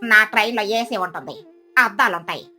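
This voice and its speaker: A woman's voice: medium pitch, animated, a little fast, pushed and bright. A woman playing a boastful, stingy, scornful mother crow in a children's cartoon story, with a sneering, scolding manner. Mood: proud boasting.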